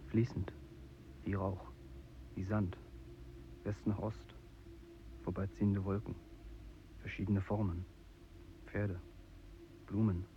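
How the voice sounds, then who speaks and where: An actor playing a frightened, wounded young soldier in a sparse radio drama, speaking in halting single words and short questions. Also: male voice, sits low, flat delivery, mellow. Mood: dreamy, lost. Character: frightened, wounded young male soldier, bewildered and longing for family. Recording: dull, noisy 1980s radio production.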